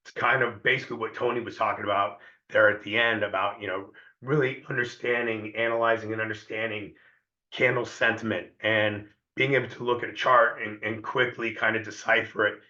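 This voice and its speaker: A hoarse man giving a live trading lesson in a conversational way, often repeating himself and checking in with viewers. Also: lowish voice, little pitch movement, brisk, bright, forceful tone, roomy sound, dull audio. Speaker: trading educator.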